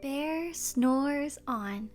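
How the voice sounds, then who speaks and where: A woman hosting a children's read-aloud, telling a picture book with deliberate, expressive delivery. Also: sits high, over loud music. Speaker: children's storyteller and host.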